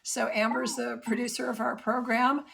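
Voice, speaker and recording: A woman's voice: fairly high pitch, flat delivery, normal speed, gravelly, fairly bright tone, slight background noise. A woman hosting a live online program on a video call, friendly and organizing, with many ums. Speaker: host.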